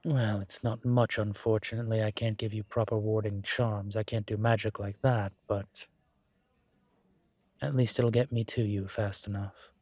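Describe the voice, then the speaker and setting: A man playing a suave incubus demon professor in a roleplay audio drama, teasing and protective, with a wry, measured delivery. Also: on the low side, little pitch movement, darkish tone, no room sound, natural voice. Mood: earnest, protective.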